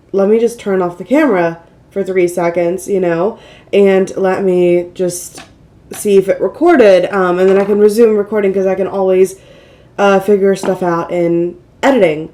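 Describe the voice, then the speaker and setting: Slightly congested, mellow-voiced woman vlogger. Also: medium pitch, steady tone, moderate pace. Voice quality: slightly nasal. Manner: chatty, rambling, self-deprecating, exclaiming. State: mildly congested from a cold. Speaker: beauty vlogger, channel host. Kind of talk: casual get-ready-with-me makeup chat.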